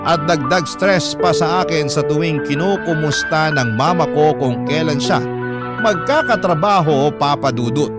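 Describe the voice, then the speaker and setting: A man's voice: on the high side, expressive, moderate pace, loud music behind the voice, close to an everyday voice. A man with a Filipino accent narrating a young mother's weary, regretful letter in a wistful, confessional style. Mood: resentful, weary.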